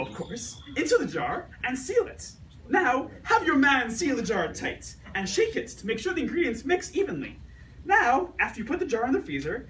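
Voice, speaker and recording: A woman plays a dainty, cheerful 1920s housewife hosting a radio cooking show. Her pitch swings extremely widely as she gives theatrical instructions. The amateur recording is a little distant, with faint noise. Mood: cheerful, instructing.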